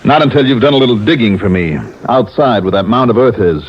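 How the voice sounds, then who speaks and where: An actor playing a shrewd, unflappable American insurance investigator, speaking firmly and coolly in the clipped style of a radio detective drama. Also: male voice, medium pitch, lively intonation, fast, natural voice. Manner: firm, clipped, coolly insistent. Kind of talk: 1950s radio detective acting. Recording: muffled, noisy old radio broadcast.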